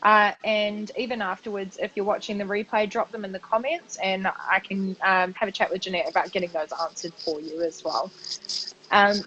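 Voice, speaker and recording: A woman hosting a live interview, chatty and upbeat with a slightly croaky voice and many fillers. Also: mid-range voice, steady tone, moderate pace, bright, forceful tone, lo-fi sound, a little hiss or hum. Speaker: host, business mentor for health coaches. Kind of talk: live-stream interview chat.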